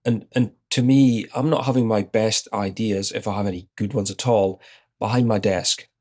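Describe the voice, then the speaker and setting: A man's voice: on the low side, moderate pace. A man in his fifties with a Scottish accent, an executive guest relaxedly telling an anecdote in an interview. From Scotland. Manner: relaxed anecdotal, retells his own exchanges. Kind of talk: conversational interview storytelling.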